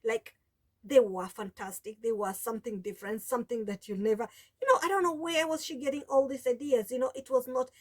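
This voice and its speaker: A woman's voice: on the high side, fairly quick. A woman in her forties with a Kenyan accent, her first language Taita, telling her story to camera in a rambling casual vlog, with many restarts. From Kenya, Taita from the coast. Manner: rambling, with frequent restarts and "you know". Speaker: vlogger.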